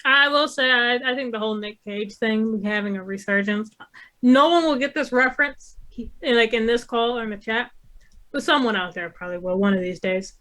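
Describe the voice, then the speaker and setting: A woman's voice: fairly high pitch, moderate pace, pushed and bright. A woman chatting casually as a panelist, with a blunt, profane way of talking. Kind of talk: casual chat.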